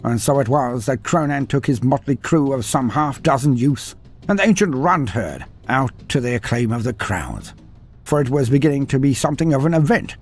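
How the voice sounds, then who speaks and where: Man, story narrator, husky voice, swooping animated intonation, dramatic telling, soft music underneath. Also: medium pitch.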